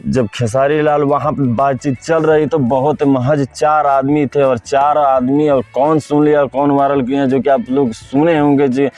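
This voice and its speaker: Man, Bhojpuri-accented Hindi, mid-pitched voice, informal gossip commentary, direct questions to viewers, faint music behind. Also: steady tone, unhurried, hardly any pauses, darkish tone, dry recording. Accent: Bhojpuri-influenced Hindi. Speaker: host of a film gossip video channel.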